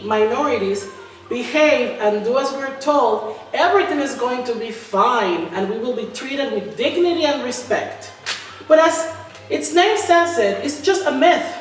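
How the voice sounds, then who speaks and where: A woman reading a spoken-word political manifesto with emphatic, sarcastic delivery and repeated refrains. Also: high voice. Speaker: writer reading own spoken-word text. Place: large reverberant indoor art venue. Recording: echoey hall from far off, faint noise.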